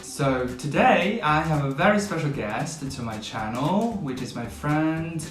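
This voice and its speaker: A man's voice: on the high side, expressive, measured pace. Upbeat male vlog host, roomy sound. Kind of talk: casual interview. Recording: echoey room, mic at a distance, guitar music behind.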